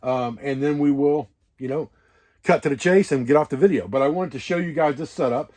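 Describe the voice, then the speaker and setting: A man's voice: fairly high pitch, brisk, a little mellow. A man talking informally as an instructor on an outdoor preparedness vlog, explaining things in a rambling, hands-on way. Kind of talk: casual instructional vlog talk. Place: wall tent at a hunting camp.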